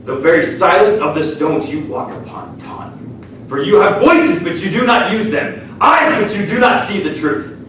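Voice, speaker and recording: A man playing a grave, defiant revolutionary, declaiming a poetic and impassioned theatrical speech with deliberate solemnity. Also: sits high, expressive. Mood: impassioned, accusing. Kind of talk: theatrical oratory. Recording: very echoey, distant, muffled, faint noise.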